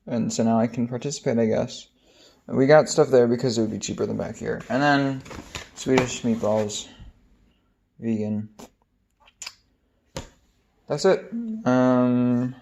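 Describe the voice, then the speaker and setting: A young man, an exchange student, chatting casually in a vlog, rambling and hesitant with many pauses. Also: medium pitch, gravelly. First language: English. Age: young adult. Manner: relaxed, rambling, many ums.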